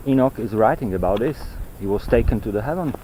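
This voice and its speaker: Male walking vlogger, lowish pitch, non-native English, rambling and repetitive delivery, noisy open-air trail sound. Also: animated. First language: not English. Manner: halting, searching for words, repeats himself. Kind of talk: rambling walk-and-talk monologue on ancient texts. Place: outdoors on a mountain trail. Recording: noisy outdoor handheld recording with clicks.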